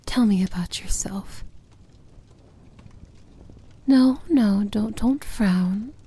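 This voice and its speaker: A woman acts out a possessive princess of evil in an intimate fantasy ASMR roleplay. Her voice is breathy and dark with a creaky edge, often near a whisper. She commands and adores in the same deliberate, tender way. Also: mid-range voice, heavily acted. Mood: soft, adoring. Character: feared demon-like villain princess, possessive, tender, in love.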